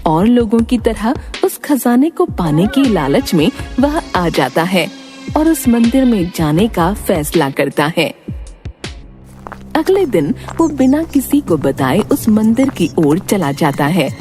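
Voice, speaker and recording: A woman's voice: medium pitch, big pitch swings, slow, mellow. A woman narrator reading a scripted children's cartoon story in a dramatic style. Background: music.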